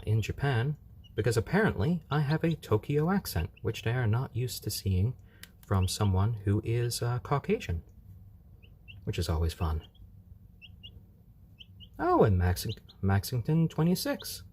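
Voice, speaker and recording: A man's voice: mid-range voice, a little mellow, breathy edge. A man hosting a casual live stream, chatting easygoingly with viewers and cooing over his baby chicks at home.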